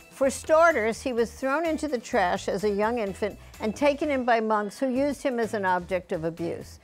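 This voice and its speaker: A woman delivering a scripted book review in a blunt, wry manner. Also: a little high.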